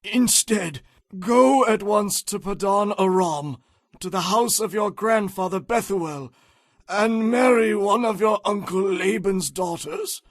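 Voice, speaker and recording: An actor playing an elderly, solemn father blessing his son in a dramatized audio Bible, speaking deliberately. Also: high-pitched, no echo, clean studio sound.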